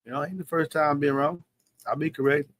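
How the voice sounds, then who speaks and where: A man's voice: fairly high pitch, steady tone, fairly quick, a bit bright, dry recording. Brief: man, fifties, live-stream host, casual and confident, dry close sound. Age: 50s. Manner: loose, slangy, confident and talkative.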